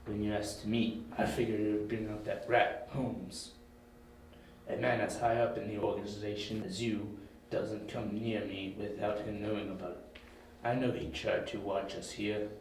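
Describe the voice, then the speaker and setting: Man playing a low-voiced, menacing crime boss, very echoey. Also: level tone, normal speed, darkish tone, close to an everyday voice. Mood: smug menace. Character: menacing male crime boss, smug and ruthless. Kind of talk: amateur audio drama acting. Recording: very echoey, distant, faint noise.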